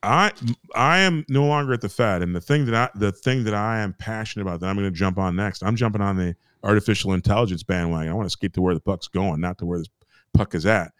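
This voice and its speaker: A man's voice: lowish voice, quick pace, a bit bright, smooth, no room sound, clean studio sound. An American man co-hosting a podcast, ranting in casual chat, emphatic and repetitive, with restarts and swearing. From United States. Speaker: podcast co-host.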